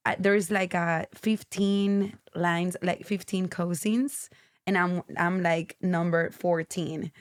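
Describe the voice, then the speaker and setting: A woman's voice: on the low side, steady tone, slow, no echo, studio quality. A Venezuelan woman in her late twenties, a podcast guest with a Venezuelan accent, talking loosely and rambling with restarts and uneven English. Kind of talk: casual interview chat.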